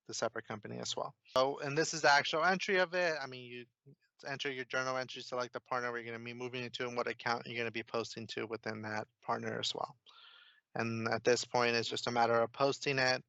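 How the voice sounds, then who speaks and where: Guy doing a casual software demo step by step, crisp bright voice, bit dull online-call audio. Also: mid-range voice, dry recording. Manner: informal, explanatory, step by step. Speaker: software consultant, demo presenter. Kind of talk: casual screen-share software walkthrough.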